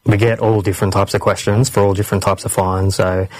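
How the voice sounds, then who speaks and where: Low, even-toned Australian man. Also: quick pace, no echo, low-quality recording. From Western Sydney, Australia. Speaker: team coordinator, interview guest. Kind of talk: explanatory interview answers.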